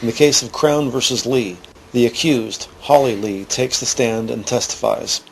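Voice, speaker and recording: A man narrating an audio drama in a measured, descriptive, scripted manner. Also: mid-range voice, gravelly, slight background noise, lightly acted, rough recording. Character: measured male narrator describing courtroom scenes.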